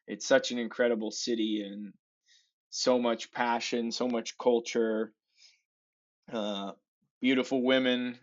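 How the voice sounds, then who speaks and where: American man in his twenties, muffled lo-fi sound. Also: mid-range voice. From San Francisco, USA. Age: 20s. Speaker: podcast guest. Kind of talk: casual travel chat. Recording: dull, lo-fi voice capture.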